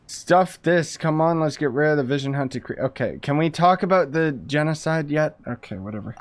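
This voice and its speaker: A man's voice: a little high, expressive, a little fast. Sarcastic male game streamer. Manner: sarcastic, informal. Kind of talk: casual live gameplay commentary. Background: faint game music.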